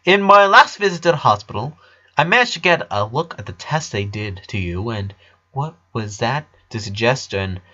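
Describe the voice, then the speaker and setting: A man's voice: medium pitch, sharp tone, muffled recording. A man voicing a precocious four-year-old genius girl with formal, wordy, matter-of-fact speech, in a cartoon fan fiction reading.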